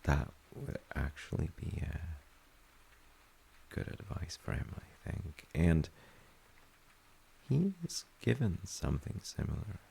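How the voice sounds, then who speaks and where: A man playing a gentle, thoughtful, reassuring dad in a soft-spoken comfort roleplay, hesitant and reflective, with a husky, gravelly voice. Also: a bass voice, big pitch swings, a little hiss or hum, heavily acted, poor audio. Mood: gently musing. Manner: hesitant, reflective, pausing mid-sentence. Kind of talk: soft-spoken sleep-aid audio roleplay.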